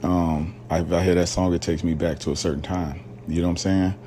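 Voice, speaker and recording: A man's voice: low voice, level tone, fairly quick, mellow, slight background noise. A man from rural Alabama hosting a casual solo podcast, talking loosely with lots of fillers and slang. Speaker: podcast host.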